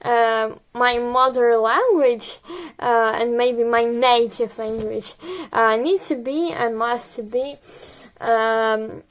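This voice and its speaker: Woman, young adult, Ukrainian accent, Russian native speaker, slow pace, hesitant, filler words, casual video diary. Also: a little high, fairly even intonation.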